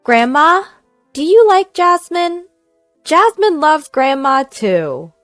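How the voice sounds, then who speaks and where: A woman's voice: sing-song, slow, fairly bright tone, dry recording, faint music behind, exaggerated voice. A woman voicing a sweet, innocent small girl in a narrated story, in a childlike way. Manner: childlike, high-pitched. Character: sweet, innocent three-year-old girl. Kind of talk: acted dialogue in narrated story.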